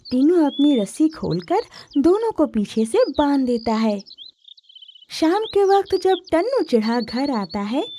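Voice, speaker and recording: Animated, high-pitched woman storyteller. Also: slight background noise. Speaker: narrator. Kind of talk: scripted children's story narration.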